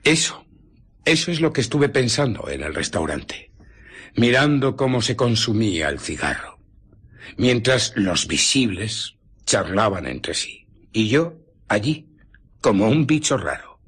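A man with a Castilian Spanish accent playing an embittered, vengeful invisible scientist in a radio drama, brooding theatrically with pauses and breathy murmurs. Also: lowish voice, sing-song, a bit bright, full character voice. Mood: bitter brooding.

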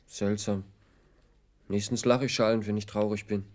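A man's voice: lowish voice, level tone, moderate pace, darkish tone, natural voice. An actor playing a naive, earnest young village man in a radio drama, with a guileless, wondering way of talking.

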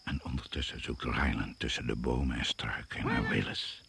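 An actor playing a brooding narrator of a radio jungle thriller, speaking in deliberate, suspenseful phrases. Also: male voice, extremely low pitch, steady tone, gravelly. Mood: ominous. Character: brooding, ominous male storyteller of a jungle thriller. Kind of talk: Dutch radio play narration. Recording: noisy old radio play recording.

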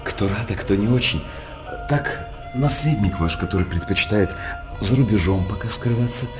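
A wry court jester acted by a man speaks in a fairly low voice with music clearly behind him. He is flattering and mock-pious, a sly confidant declaiming verse in a theatrical radio play. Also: moderate pace, natural voice. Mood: sly gossip.

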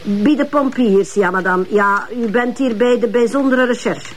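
A woman with a Flemish accent playing a chatty, cheeky police detective in a radio drama, brisk and informal. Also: fairly high pitch, a little hiss or hum, lightly acted. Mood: harried, chatty.

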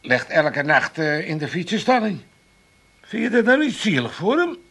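A man's voice: sits high, very animated, moderate pace, a bit bright, slightly rough, exaggerated voice. An actor playing a meddlesome, grumbling older father and landlord in a blustery, colloquial comic radio play style. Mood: nagging. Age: middle-aged or older.

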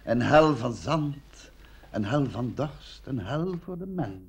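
Animated, boastful village pharmacist. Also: male voice, medium pitch, takes it slowly, creaky, full character voice. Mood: wistful, boastful. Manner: theatrical, hesitant when pressed. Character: boastful, wistful village pharmacist, ex-army medical officer. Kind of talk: radio play acting.

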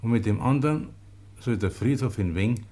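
An actor playing a humble, resolute young farmer in a German radio play, speaking simply, earnestly and deliberately. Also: male voice, lowish voice, mellow, close to an everyday voice. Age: 30s.

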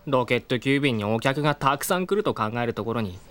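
A woman's voice: very deep voice, expressive, fairly quick. A woman voicing a long-suffering young male sidekick in a comic audio drama, with blunt, grumbling retorts. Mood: dry, resigned.

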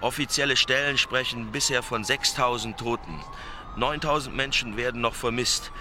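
A man's voice: mid-range voice, background noise, lightly acted. An actor playing a male news correspondent giving a sober, formal, factual live report in a radio play. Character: male German TV correspondent reporting live from disaster-struck Tokyo.

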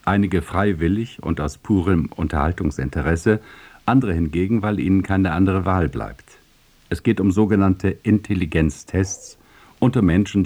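A man's voice: sits low, darkish tone. A man giving a scripted introduction as a radio announcer.

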